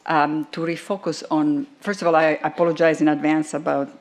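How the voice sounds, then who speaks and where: A woman oncologist giving a conference talk in a wry, self-deprecating way, speaking deliberately. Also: sits low, little pitch movement, darkish tone.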